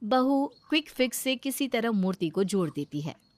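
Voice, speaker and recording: A woman's voice: fairly high pitch, big pitch swings, moderate pace, no room sound. A woman narrating a scripted comic family story as the storyteller.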